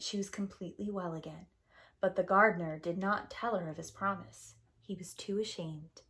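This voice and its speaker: A woman storyteller reading a fairy tale to young children in a dramatic, deliberate way. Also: medium pitch, a bit bright. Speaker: preschool teacher, storyteller.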